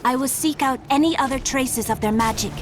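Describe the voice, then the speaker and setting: A woman playing a calm mystical enchantress. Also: high voice, background noise, lightly acted. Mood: composed. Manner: measured, formal and foreboding. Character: mystical young female enchantress, calm and wise. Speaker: companion enchantress. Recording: game audio with loud music.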